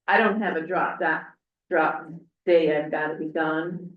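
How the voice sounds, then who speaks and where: A woman's voice: sits low, fairly even intonation, measured pace. A woman speaking as a city clerk, explaining procedure at a public meeting in a council room. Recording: dull, echoey meeting room audio.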